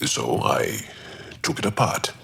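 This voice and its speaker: A man playing a gentle, sentimental alien crewmate in a video game, with a measured, earnest delivery. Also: extremely low pitch, huge pitch swings, creaky, husky, heavily acted. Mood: wistful, open. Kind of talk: video game dialogue acting.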